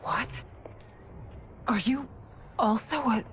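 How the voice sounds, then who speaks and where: Earnest, slow-spoken warrior queen. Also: fairly high pitch, a little hiss or hum. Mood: bewildered. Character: regal, earnest warrior queen who keeps time. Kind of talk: dramatic video game cutscene acting. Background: music.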